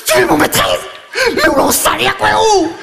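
Man voicing a boastful party guy, high bouncy voice, broken French, giggly rambling, bursts of shouting. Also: wildly swooping pitch, unhurried, gravelly, crowd noise, exaggerated voice. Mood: flustered, chatty. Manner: broken French, rambling, laughs through his words; sing-song chanting and sudden shouting. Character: boastful party-loving man juggling wife and mistress. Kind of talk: radio comedy sketch.

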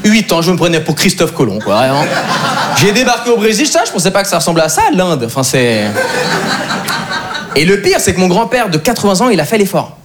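A male comedian spinning a self-mocking family story on stage. His voice sits a little high and leaps around in pitch. He rattles along at a quick clip. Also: big pitch swings, heavy background noise. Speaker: stand-up comedian.

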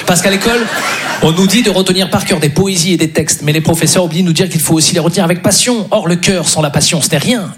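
A male comedian delivering a theatrical stand-up monologue full of wordplay in a declamatory, mock-impassioned manner. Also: a little high. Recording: noisy live venue with audience.

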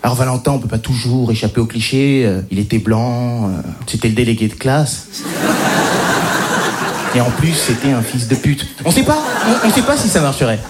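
A man from the French suburbs doing stand-up, his pitch leaping up and down as he acts out every character. He stalls on fillers before landing each line. Noise sits clearly under the recording. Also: normal speed. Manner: animated storytelling with mock voices and hesitant fillers. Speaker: stand-up comedian. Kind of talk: stand-up routine.

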